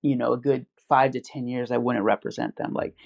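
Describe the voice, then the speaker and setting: A man's voice: medium pitch, fairly even intonation, a little fast, creaky. A man in casual podcast chat, rambling with lots of fillers and restarts. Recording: dull lo-fi audio.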